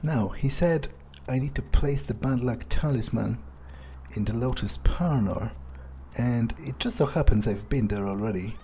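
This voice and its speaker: A player narrating a video game in character, thinking aloud in a sardonic way with pauses mid-sentence. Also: medium pitch, warm tone, slight background noise. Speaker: game player role-playing his character.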